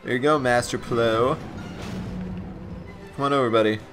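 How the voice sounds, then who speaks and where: A man hosting a let's play, commenting on gameplay in an excitable, enthusiastic way, his voice raspy and a bit stuffed up. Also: mid-range voice, moderate pace, music in the background.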